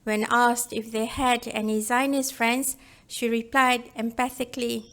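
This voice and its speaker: A woman's voice: fairly high pitch, level tone, a little slow, a bit bright. A woman reading a scripted book review as a narrator.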